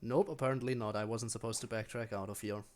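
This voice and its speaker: A man's voice: on the low side, fairly even intonation, quick pace, darkish tone. Man giving wry, casual gameplay commentary. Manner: wry asides, talking to himself and the game. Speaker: game streamer.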